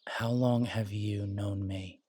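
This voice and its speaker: A man playing a confident, protective alpha werewolf boyfriend in an intimate roleplay audio drama, husky and soothing, teasing and reassuring in a casual way. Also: fairly low pitch, steady tone, slow, mellow, no room sound. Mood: earnest, soothing.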